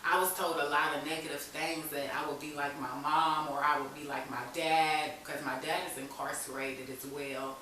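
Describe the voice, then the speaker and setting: A woman with a low, bright voice gives candid personal testimony as a guest in an interview. She sounds resolute and conversational. The sound is distant and strongly reverberant, as if caught far from the microphone. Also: level tone, moderate pace, hardly any pauses. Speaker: talk show guest in recovery. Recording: very echoey room, distant mic, hiss.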